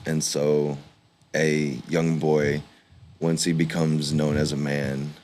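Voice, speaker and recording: A man in his forties from Scotland, a podcast guest, talking in a halting, hesitant way with restarts. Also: very deep voice, level tone, a little mellow, slight background noise. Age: 40s. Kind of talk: casual interview conversation. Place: warm room with fans running.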